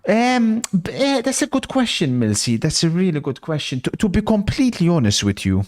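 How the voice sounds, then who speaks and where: A man's voice: high voice, sing-song, brisk, darkish tone. A man hosting a live football watch-along stream, chatting casually, reacting to the match and reading out viewer messages.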